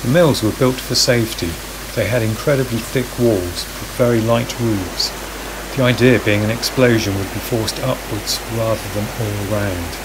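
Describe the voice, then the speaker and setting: A man reading a scripted documentary narration in a calm, measured voice-over. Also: on the low side, noisy background. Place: voice-over, recorded apart from the woodland footage.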